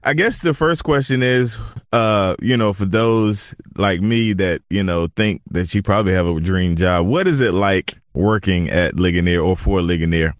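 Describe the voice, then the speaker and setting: A man's voice: low voice, moderate pace, dry recording, clean studio sound. A man hosting a radio-style podcast, upbeat and hyped, dropping "man" into his sentences. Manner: upbeat, hype, repeats "man". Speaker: podcast host. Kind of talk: casual radio-style interview.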